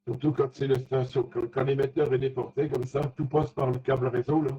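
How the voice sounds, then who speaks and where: A man in a casual technical chat as an amateur radio operator, speaking haltingly and often searching for words. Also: medium pitch, little pitch movement, mellow, breathy edge. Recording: dull, lo-fi radio contact audio.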